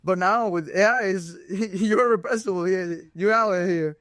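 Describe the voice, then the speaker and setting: A young man hosting an interview show, with a casual, slangy way of talking. His voice sits somewhat higher than most men's. It is recorded close and dry. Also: normal speed, fairly bright tone, no echo. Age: young adult. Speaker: host.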